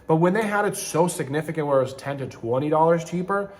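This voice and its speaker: A man from Ukraine talks straight to camera, loose and conversational. His voice sits a little higher than most men's. He rambles and often stops to fix his words mid-sentence. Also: quick pace, darkish tone. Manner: rambling, self-correcting, conversational with frequent restarts. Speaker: channel host, online seller and manufacturer. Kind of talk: casual talking-to-camera vlog.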